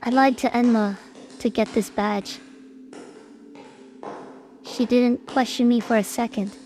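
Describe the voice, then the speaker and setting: A woman's voice: on the high side, fairly even intonation, unhurried, darkish tone. A woman playing a timid, conscientious young streamer in a scripted audio drama, hesitant and anxious. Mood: guilty, nervous. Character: timid, conscientious, gentle young female streamer, easily guilt-ridden.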